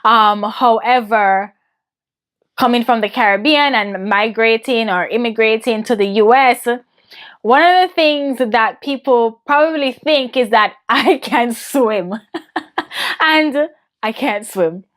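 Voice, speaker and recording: A woman's voice: on the high side, slow, fairly bright tone. A woman with a Jamaican accent, answering interview questions in an earnest, motivational way as a coach for women, laughing often.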